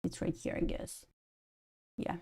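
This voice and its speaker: A woman's voice: unhurried, mellow. A woman from Belarus with a Russian accent, casually commenting on a live trading stream, thinking aloud and answering chat. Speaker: day-trading livestream host.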